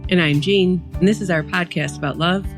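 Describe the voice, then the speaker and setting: A woman co-hosting a podcast as a couples therapist, reading a scripted intro in a smooth, measured way. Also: lowish voice. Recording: voice over guitar music bed.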